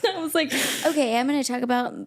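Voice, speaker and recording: A woman in her thirties with a high voice hosting a podcast at home, chatting casually and laughing often. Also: fast. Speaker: podcast host. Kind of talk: casual chat. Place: her house.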